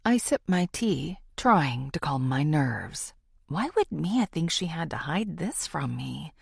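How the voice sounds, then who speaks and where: A woman's voice: low-pitched, sing-song, takes it slowly, creaky, husky, dry recording, clean studio sound. A woman reading scripted first-person thriller narration as an audiobook narrator.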